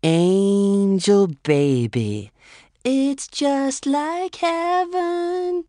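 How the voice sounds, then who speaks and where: A man voicing a tipsy, flippant teenage girl in an audiobook, chirpy and drawn-out. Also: extremely high pitch, dark tone, no echo, clean studio sound, pitched above the performer's own voice, exaggerated voice. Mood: tipsy singing.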